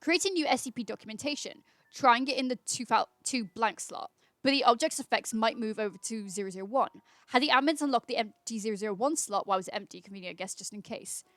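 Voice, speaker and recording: A woman's voice: fairly high pitch, fast, fairly bright tone, dry recording. A woman hosting a casual livestream, reading aloud in an informal, chatty way. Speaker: livestream host. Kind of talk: casual livestream read-aloud with chat replies.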